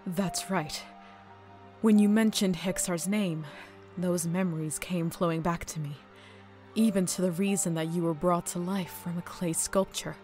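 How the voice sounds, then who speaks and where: A woman voicing a calm female mentor pony in a fan audio drama, speaking in a measured, explanatory way. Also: on the low side, faint music behind, close to an everyday voice. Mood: reflective.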